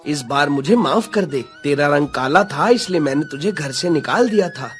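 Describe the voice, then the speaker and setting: A man plays a remorseful brother in a cartoon moral tale. His slightly high voice pleads with plenty of drama, music playing softly behind him. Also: expressive, normal speed. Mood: remorseful, pleading. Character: repentant adult brother begging forgiveness. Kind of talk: Hindi moral-story cartoon dubbing.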